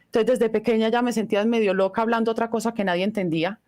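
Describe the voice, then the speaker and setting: A woman's voice: a little high, fairly even intonation, quick pace, a little mellow. Woman voice teacher, Italian native speaker. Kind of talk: live online talk on voice.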